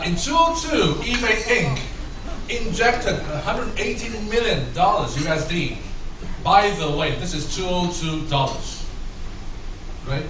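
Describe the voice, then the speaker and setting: A man's voice: fairly high pitch, a bit bright. A man from Singapore with a mixed English and American accent, a corporate trainer giving a chatty talk and quizzing his audience. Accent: mix of English and American. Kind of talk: conference talk with audience questions. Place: large echoey hall with an audience. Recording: echoey hall, voice from a distance, noisy.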